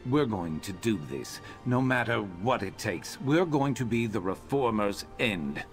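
Deep, brooding reptilian warrior. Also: male voice, expressive, measured pace, faint music behind. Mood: determined. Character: brooding male reptilian warrior, determined escaped slave. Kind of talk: video game character dialogue.

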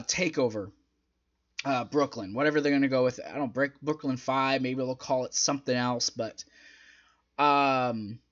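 Young man, lively swooping intonation, casual wrestling reviewer, emphatic, slightly dull lo-fi mic. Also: mid-range voice. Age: young adult. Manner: conversational, emphatic, swears casually. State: strained, sore throat. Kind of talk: casual opinionated match review. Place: small indoor home room. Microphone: desk microphone close in front.

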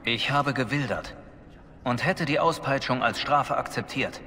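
A man's voice: medium pitch, unhurried, bright, forceful tone, slight background noise. A man voicing a humbled, resolute former soldier in a video game, speaking formally and sincerely. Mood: sincere, grave. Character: earnest male ex-soldier and poacher, humbled, resolute. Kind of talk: dramatic video game voice acting. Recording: long reverb, music behind.

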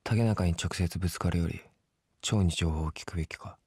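An actor playing a hot-headed young underground fighter, brooding and defiant, with terse, rough talk in a game cutscene. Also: male voice, low-pitched, normal speed, mellow, slight background noise. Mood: tense, determined. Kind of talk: dramatic Japanese game cutscene acting.

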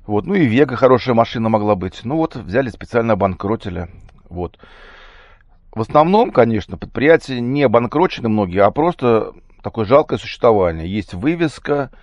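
A man with a slightly hoarse, cold-affected voice giving a casual, halting, off-the-cuff vlog monologue. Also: mid-range voice, expressive, darkish tone, dry recording. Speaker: vlogger. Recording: dull, muffled lo-fi recording.

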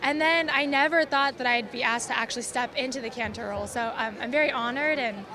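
A woman's voice: a little high, brisk. A young adult woman, a cantor, answering in a bubbly way into an interviewer's handheld mic on a crowded arena floor. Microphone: handheld mic held by interviewer. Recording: crowd chatter behind.

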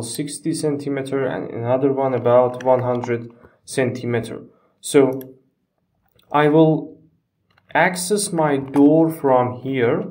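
A man narrating a step-by-step software tutorial in a halting, phrase-by-phrase way with non-native phrasing, recorded on a distant microphone. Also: medium pitch.

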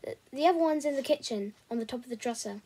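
A woman's voice: high voice, fast talker, mellow, husky. A woman voicing a sly, streetwise schoolboy who talks in a dry, teasing, matter-of-fact way.

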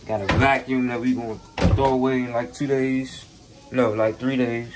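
A man's voice: mid-range voice, flat delivery, normal speed. A young man chatting casually to his viewers while cooking, joking and slangy, filmed on a handheld phone in a small apartment kitchen. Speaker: vlogger. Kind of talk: casual cooking vlog chat. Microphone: handheld phone camera. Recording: noisy kitchen with frying sizzle.

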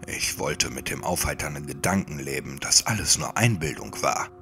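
A man narrating a scripted first-person horror story like an audiobook, in a measured, suspenseful manner. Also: extremely low pitch, lively intonation, pushed and bright, soft background music.

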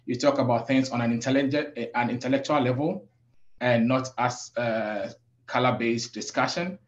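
A man from Africa who works as a safety professional, speaking on a webinar panel in a measured, reflective way with many pauses. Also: mid-range voice, lo-fi sound. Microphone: video call.

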